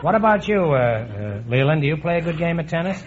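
A middle-aged man hosting a quiz show, teasing his guests with quick puns and asides before a live audience. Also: a little high, sing-song, a crowd in the background, noisy background. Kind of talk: wisecracking quiz show banter. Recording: dull old radio broadcast, audience behind.

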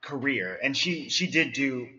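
A podcast co-host speaks in a mid-range voice, thoughtful and measured. The recording sounds a little dull. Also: medium pitch, lively intonation, very noisy. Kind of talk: casual chat.

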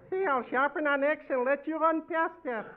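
High-voiced jolly singsong barber. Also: male voice. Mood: amused. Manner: singsong, exclaiming, chuckles at own jokes. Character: jolly, wisecracking, singsong barber shop owner. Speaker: comic character actor. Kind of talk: 1940s radio comedy acting. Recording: noisy, tinny old radio recording.

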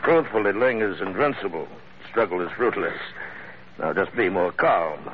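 An actor playing a suave middle-aged villain in an old-time radio drama, speaking in courtly, ornate sentences with polite menace. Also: male voice, low-pitched, lively intonation, heavy background noise. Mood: insistent, controlled. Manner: courtly, unhurried, ornate formal speech. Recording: muffled, phone-like old radio recording.

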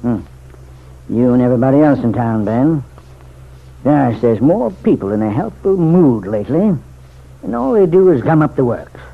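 An actor in an old-time radio drama playing a gruff, weary small-town sheriff who is guarded and dryly skeptical. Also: male voice, medium pitch, very animated, moderate pace, long pauses. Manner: gruff, laconic, folksy. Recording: tinny, narrow vintage radio recording.

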